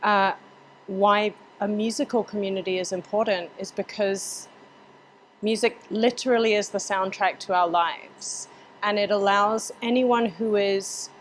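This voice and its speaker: A woman from Hong Kong on a roundtable panel, speaking in a measured, articulate way. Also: medium pitch, slight background noise, low-quality recording. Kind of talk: casual discussion.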